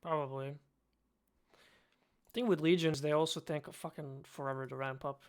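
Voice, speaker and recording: A man's voice: a little high, fairly even intonation, normal speed. A man with a Serbian accent co-hosting a casual podcast chat, blunt and joking, who swears casually. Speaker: podcast co-host.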